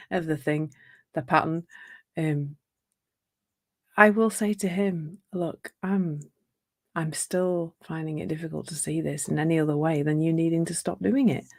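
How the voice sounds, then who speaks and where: Woman, lowish pitch, slightly croaky, gentle reflective coaching talk, frequent restarts. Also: fairly even intonation, a little slow, pauses often. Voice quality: slightly croaky from a sore throat. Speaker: relationship coach. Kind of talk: live online talk.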